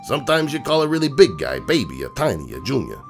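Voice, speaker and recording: A man playing a gruff, streetwise crook horse uncle who tells bedtime stories in a slangy, cynical, digressing way. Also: medium pitch, huge pitch swings, fast talker. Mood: wry, instructive. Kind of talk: dramatic reading of a comic bedtime story. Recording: music bed behind the voice.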